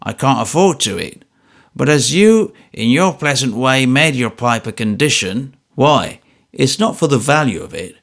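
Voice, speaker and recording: A man's voice: medium pitch, lively intonation, unhurried, a bit bright. A man voicing a grasping, crippled old miser who gasps and wheedles, out of breath. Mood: wheedling. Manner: wheedling, gasping, sputtering insults.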